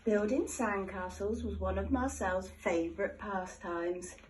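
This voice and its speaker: A woman reading a children's picture book aloud in an expressive, animated storytelling manner. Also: medium pitch, measured pace, distant mic, slight background noise, poor audio. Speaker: storybook reader.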